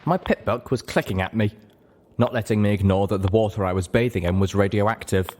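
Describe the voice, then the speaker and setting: A man's voice: lowish voice, fairly quick, a little mellow. A man reading first-person fiction aloud as a dramatic story narrator. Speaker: story reader.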